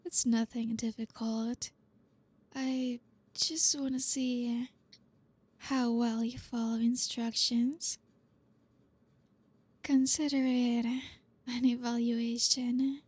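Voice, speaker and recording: A woman playing a flirty, dominant boss in an ASMR roleplay, speaking softly and breathily close to the mic in a teasing, commanding way. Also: a little high, level tone, no room sound. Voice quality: soft, breathy, often near whisper. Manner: slow, commanding, teasing, with pauses. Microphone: close mic, dry.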